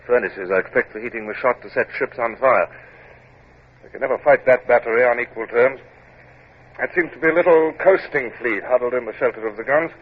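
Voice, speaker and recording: An actor playing a stern British naval captain in a radio drama, speaking in a clipped, formal, commanding way, with measured narration. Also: male voice, mid-range voice, breathy edge, lightly acted. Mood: crisp, composed. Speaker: lead actor and first-person narrator. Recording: muffled, noisy vintage radio recording.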